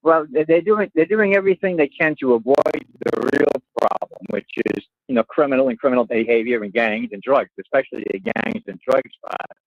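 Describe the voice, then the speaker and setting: A man's voice: medium pitch, fairly quick, no room sound. A man calling in to a talk radio show over a phone, speaking bluntly and often repeating words. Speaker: caller. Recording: muffled phone line.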